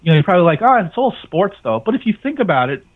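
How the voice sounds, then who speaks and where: Casting: male call-in guest, slightly high voice, casual interview chat over a tinny phone connection. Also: very animated, racing. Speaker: radio network founder and guest. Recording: thin phone-like radio call-in line.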